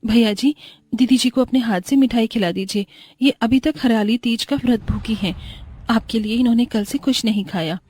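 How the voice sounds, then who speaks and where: A woman's voice: fairly high pitch, normal speed, dark tone, slight background noise, close to an everyday voice. A woman voicing a humble, devout poor young daughter-in-law in a cartoon moral story, meek, respectful and earnest. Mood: meek, pleading.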